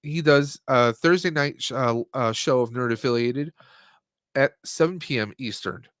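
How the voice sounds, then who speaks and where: A man's voice: mid-range voice, moderate pace, fairly bright tone, dry recording, studio quality. A man hosting a casual movie review stream, talking in a rambling, conversational way and often rephrasing himself. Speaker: podcast host.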